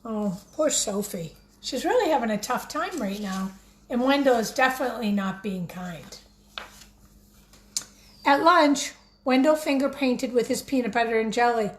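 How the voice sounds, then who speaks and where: A woman teacher reading a picture book aloud expressively, pausing to put questions directly to young listeners. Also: fairly high pitch. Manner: expressive, addresses children directly.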